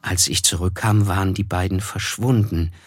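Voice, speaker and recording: A man playing a reserved writer who narrates a literary radio play in a measured, wry, observant way. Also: deep voice, fairly even intonation, slightly rough, dry recording, clean studio sound. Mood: detached, reflective. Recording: music bed behind the voice.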